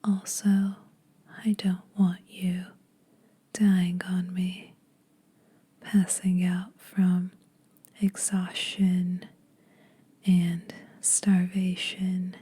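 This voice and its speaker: A woman playing a teasing, secretly kind vampire in an intimate, breathy whispered ASMR roleplay. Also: on the low side, completely flat delivery, mellow, exaggerated voice. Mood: playful, reassuring. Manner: slow, intimate, dryly teasing. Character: teasing, lonely female vampire, ageless, secretly kind to mortals.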